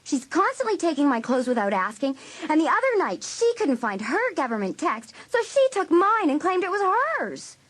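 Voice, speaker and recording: A woman acting emotional, excitable teenage girls in a dramatised audiobook. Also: high voice, moderate pace, hardly any pauses. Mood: indignant. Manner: excitable, exclamatory.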